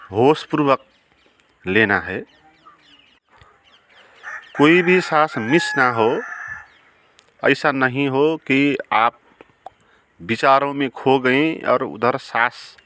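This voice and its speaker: Slow, calm man guiding a meditation. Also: mid-range voice, slight background noise. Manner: slow, calm, with long pauses. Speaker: meditation guide. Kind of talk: guided meditation.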